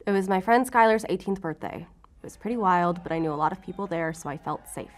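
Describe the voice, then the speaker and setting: An actress playing a guarded, defiant law-enforcement agent in an audio drama, with a sarcastic, defensive way of talking. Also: female voice, on the low side, fairly quick, close to an everyday voice. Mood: guarded, flippant.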